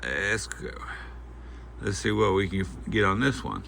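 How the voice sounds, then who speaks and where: A man giving a casual, unscripted product demonstration, talking in a halting, folksy way as he thinks aloud. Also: low voice, big pitch swings, creaky. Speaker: host of a gear review channel. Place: indoors at home at night. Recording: faint background noise.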